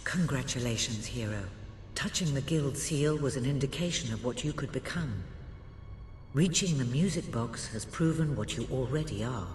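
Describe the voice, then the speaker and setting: Actor as a solemn, echoing mystic guide. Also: male voice, medium pitch, lively intonation, natural voice. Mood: solemn, urging. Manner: solemn, measured, portentous. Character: grave, commanding mystic guide to a hero. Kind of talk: dramatic video game cutscene acting. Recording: echoey long reverb, music behind.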